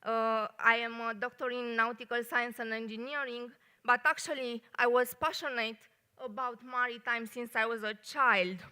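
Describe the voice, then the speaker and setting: A woman from Romania with a Romanian accent, an engineer giving a short live talk at a café event, speaking carefully with many pauses. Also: a little high, fairly even intonation, sharp tone, smooth. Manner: careful non-native phrasing with many pauses.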